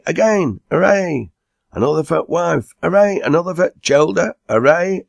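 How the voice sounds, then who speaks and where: A man voicing a boisterous, hearty working man with a Lancashire accent, loud and exclamatory, in a storybook reading. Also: fairly low pitch, vocal fry, no echo, voice pushed up. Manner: loud, exclamatory cheering and urging.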